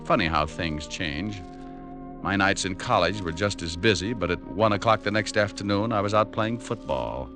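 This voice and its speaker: An actor playing a wisecracking, dry-humored private detective who also narrates, in 1940s radio acting. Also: male voice, sits low, moderate pace, close to an everyday voice. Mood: narrating. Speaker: narrator and lead. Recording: old radio broadcast with music.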